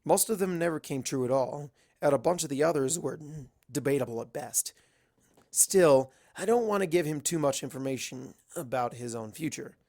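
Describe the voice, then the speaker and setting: Higher-voiced man narrating, creaky edge. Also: expressive, normal speed, a little mellow. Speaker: fan fiction reader. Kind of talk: first-person scripted story narration.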